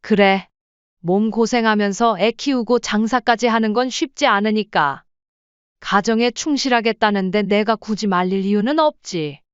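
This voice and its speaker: A woman playing a firm, proud mother-in-law in her sixties in a scripted audio story, speaking plainly and deliberately. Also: medium pitch, smooth, no room sound, muffled recording, natural voice. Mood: kindly, accepting.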